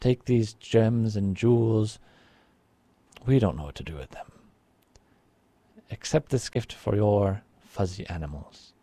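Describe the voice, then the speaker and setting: A man's voice: lowish voice, slow, long pauses, mellow, no echo. A man voicing a grateful island king in a told folk tale, speaking with formal, courtly phrasing.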